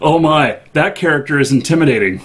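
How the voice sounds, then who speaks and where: A man hosting a casual live-stream interview, good-humored and halting as he puts questions to a guest. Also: fairly high pitch, expressive, a bit bright, slight background noise.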